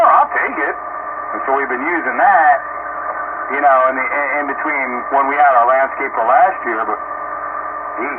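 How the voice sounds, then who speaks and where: A man's voice: mid-range voice, recorded from a distance. A man chatting casually in a folksy storytelling way, quoting his own thoughts, over a radio link. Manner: folksy, chatty storytelling with quoted self-talk. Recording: muffled two-way radio, clear static noise.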